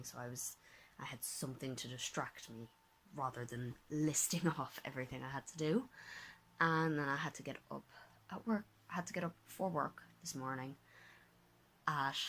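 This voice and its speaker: A tired, slightly hoarse young woman talking casually to her phone camera at home, rambling, correcting herself and sometimes dropping to a whisper. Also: low voice, slight background noise, poor audio. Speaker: vlogger, master's student. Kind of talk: casual vlog talk to camera. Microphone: handheld phone at arm's length.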